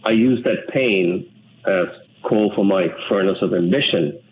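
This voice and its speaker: A man from Sri Lanka, an English speaker, talking in an earnest, measured way as an interview guest. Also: sits low. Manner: measured, earnest, with frequent restarts. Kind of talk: reflective interview talk. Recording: tinny phone line with faint noise.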